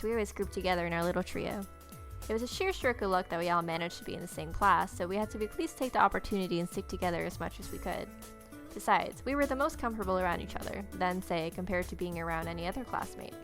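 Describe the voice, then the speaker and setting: Young female game player, brisk pace, mid pitch, narrates story text with casual asides over light music. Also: a bit bright. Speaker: game player reading aloud. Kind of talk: read-aloud visual novel narration with casual asides. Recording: music playing behind.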